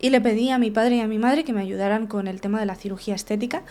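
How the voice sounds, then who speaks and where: A woman's voice: medium pitch, steady tone, fast talker, dark tone. Female guest, therapist; frank personal storytelling, restart-prone, tag questions.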